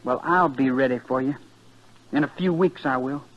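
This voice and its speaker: An actor playing a stubborn, defiant sixteen-year-old cowhand with a rural Western American accent and terse delivery in a 1950s radio western. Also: male voice, mid-range voice, moderate pace, natural voice. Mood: coldly determined. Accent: rural American West. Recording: dull vintage radio recording.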